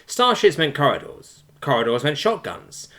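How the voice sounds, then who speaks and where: A man reading a science fiction story aloud as a scripted, expressive audiobook narration. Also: on the high side, moderate pace.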